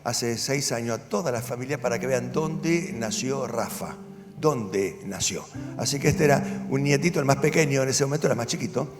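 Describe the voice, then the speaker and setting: Older pastor in echoing hall. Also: male voice, mid-range voice, moderate pace. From Argentina. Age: 70s. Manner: earnest storytelling, rising to firm conviction. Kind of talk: personal testimony at a church event. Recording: long hall reverb with music behind. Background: music.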